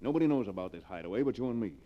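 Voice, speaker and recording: An actor playing a ruthless, domineering middle-aged millionaire in 1940s radio melodrama, with a forceful, imperious delivery. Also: male voice, lowish voice, expressive, very fast. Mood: commanding, impatient. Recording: dull, muffled vintage radio recording.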